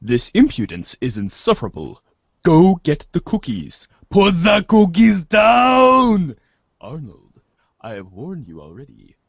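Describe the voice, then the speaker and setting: A man's voice: sits high, huge pitch swings, full character voice. A man playing an imperious, insulting commander in a read-along storybook comedy, pompous and exasperated as he orders a boy about. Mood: indignant, exasperated. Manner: pompous, exasperated, slow and theatrical. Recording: echoey, dull lo-fi recording.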